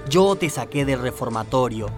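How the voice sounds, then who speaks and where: An actor playing a bossy, exasperated political adviser, insistent and sarcastic, in a comic radio play. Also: male voice, mid-range voice, lively intonation, normal speed, faint music behind, lightly acted. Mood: indignant. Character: exasperated, cynical male political adviser and fixer.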